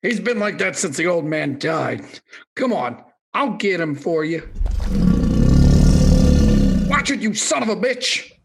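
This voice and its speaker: A gruff, irritable swamp dweller, acted by a man in a radio play. His voice is gravelly and sharp, sitting a bit high. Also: big pitch swings, slow, pauses often, full character voice. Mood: irritated. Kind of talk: audio drama acting.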